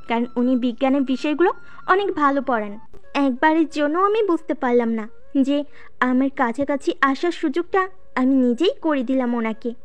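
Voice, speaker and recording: A woman playing a shy, easily piqued student who narrates her own story in a scripted audio drama. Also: sits high. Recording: music behind the voice.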